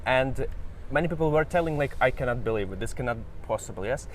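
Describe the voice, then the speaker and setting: A man's voice: medium pitch, expressive, quick pace, slight background noise. A Ukrainian man with a Ukrainian accent hosting an interview, speaking thoughtfully in English with slightly non-native phrasing. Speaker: host. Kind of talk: casual interview.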